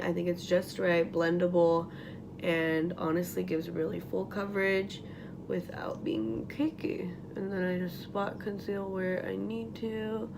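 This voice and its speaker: A young woman with a raspy morning voice chatting casually through a makeup tutorial at home, full of likes and ums and trailing off into whispers. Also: fairly low pitch, background noise. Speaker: beauty vlogger. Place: at home, getting ready.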